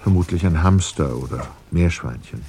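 A man's voice: a bass voice, moderate pace, warm tone, slight background noise. A man reading scripted narration for an audio drama.

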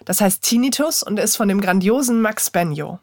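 A woman's voice: mid-range voice, animated, moderate pace, no room sound, clean studio sound. A woman hosting a podcast, reading a scripted announcement. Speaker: podcast host.

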